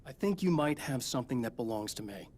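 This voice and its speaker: An actor playing an earnest, serious writer turned fighter against darkness in a video game, speaking in a measured way. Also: male voice, medium pitch, lively intonation, darkish tone, natural voice. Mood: calm, serious. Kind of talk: video game acting, dialogue and reflective monologue.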